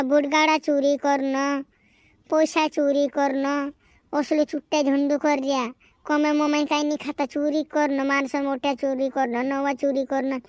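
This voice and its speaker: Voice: sits high, dry recording. A performer voicing a chatty, fretful village cartoon character with a rural western Madhya Pradesh accent, repeating phrases in comedy dialogue. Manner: repetitive, chattering.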